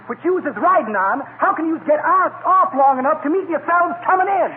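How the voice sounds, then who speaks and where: An actor playing a puzzled, polite, streetwise cab driver with plain working-class speech in 1940s radio comedy. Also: male voice, very high-pitched, lively intonation, brisk. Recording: tinny 1940 radio recording, faint noise.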